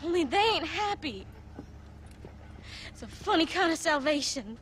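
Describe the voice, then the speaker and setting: A woman's voice: extremely high pitch, big pitch swings, a little slow, a bit bright, a little hiss or hum, full character voice. A woman voicing a frightened young country girl in a game cutscene, tearful and rambling. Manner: tearful, rambling, rural folksy speech. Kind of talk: video game cutscene acting.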